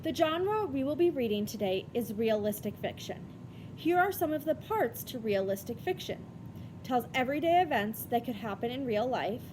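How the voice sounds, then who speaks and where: A woman teacher giving a read-aloud lesson for children, explaining things step by step in an instructional way. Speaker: elementary school reading teacher. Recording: rumbly background noise.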